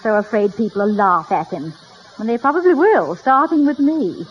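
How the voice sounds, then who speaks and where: A high-voiced, witty woman of radio comedy played by an actress, a light and teasing college president's wife. The old recording sounds dull, with faint noise behind her. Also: expressive, normal speed, lightly acted. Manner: light, teasing, self-deprecating. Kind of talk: 1950s radio comedy acting.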